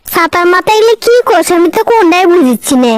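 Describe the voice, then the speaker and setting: A woman's voice: very high voice, animated, fast talker. A woman voicing a blunt, skeptical young girl in a comic cartoon, scoffing and questioning in a northern Bangladeshi accent. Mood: baffled, scornful. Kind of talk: comic cartoon dialogue in rural dialect.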